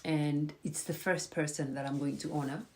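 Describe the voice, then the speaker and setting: A woman's voice: sits low, steady tone, normal speed. A woman hosting a casual live stream, chatting to viewers and encouraging them, with a slight cold in her voice. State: has a cold. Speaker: host.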